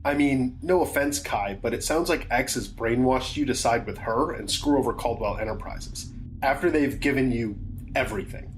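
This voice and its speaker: An actor playing a skeptical, rule-following security team member in a science fiction audio drama, with blunt, colloquial, questioning delivery. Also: male voice, medium pitch, moderate pace, slight background noise, natural voice, poor audio. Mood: uneasy, defiant.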